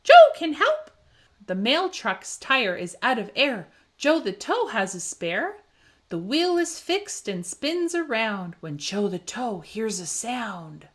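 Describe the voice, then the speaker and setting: A woman reading a children's picture book aloud with expressive, rhythmic phrasing and dramatic emphasis for young listeners. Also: on the high side, takes it slowly. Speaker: children's story reader.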